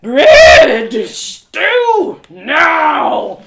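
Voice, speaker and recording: A woman voicing a proud, impulsive, injured young heroine in an expressive, theatrical storybook reading. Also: high-pitched, drawn-out words, creaky, echoey room, raised voice, pitched above the performer's own voice, full character voice. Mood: ravenous, desperate.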